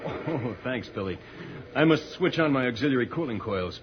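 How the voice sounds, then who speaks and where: A man acting a heroic space commander in a vintage radio serial. He is calm and authoritative, with a lively, moving pitch. The recording is muffled and noisy. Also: moderate pace, lightly acted. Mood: calm, explaining.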